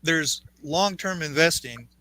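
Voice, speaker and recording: A man on a live panel lays out his point with emphasis and repeats himself as he goes. His voice is bright and forceful and sits a little high. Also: expressive, slow pace. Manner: emphatic, repeats words as he explains. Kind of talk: explanatory panel talk.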